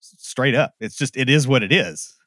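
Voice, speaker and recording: A man's voice: medium pitch, lively intonation, moderate pace, sharp tone, no echo. A man co-hosting a podcast, giving blunt, wry opinions and anecdotes. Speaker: podcast co-host. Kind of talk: casual opinionated chat.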